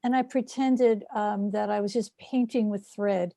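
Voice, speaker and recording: A woman with a mellow, relaxed voice chats in a group. The sound is very dry, and her delivery is informal. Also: mid-range voice, a little slow, creaky, no echo. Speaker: group participant. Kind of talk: casual chat.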